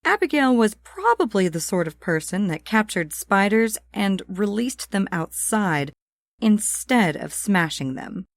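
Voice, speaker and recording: A woman's voice: mid-range voice, sing-song, unhurried, no room sound. A woman reading a comic novel as a scripted audiobook narration.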